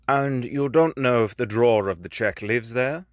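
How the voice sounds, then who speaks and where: A man playing a grave, discreet Victorian English lawyer in an audio drama, speaking formally and deliberately, with a probing air. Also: mid-range voice, dry recording, studio quality, close to an everyday voice. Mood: curious.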